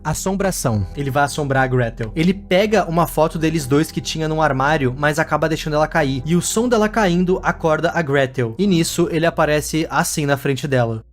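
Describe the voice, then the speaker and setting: A man's voice: fairly high pitch, fairly quick, hardly any pauses, faint music behind. A man with a Brazilian accent, hosting a casual story recap with colloquial, wry, jokey commentary. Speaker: video host.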